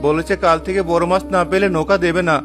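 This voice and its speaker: A man's voice: high-pitched, fairly even intonation, fast, music in the background, close to an everyday voice. A man voicing a poor, determined fisherman husband in a cartoon, speaking in an earnest, dramatic way. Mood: weary, dejected.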